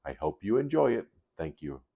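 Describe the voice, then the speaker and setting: A man reading a children's story aloud in an unhurried, deliberate storytelling style. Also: low voice, sing-song, vocal fry, dark tone.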